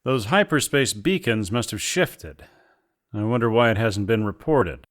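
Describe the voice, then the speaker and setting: An actor playing a modest, wary mercenary hunter in an audio drama, polite and plain-spoken. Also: male voice, fairly low pitch, expressive, a little slow, a bit bright. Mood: curious, wary.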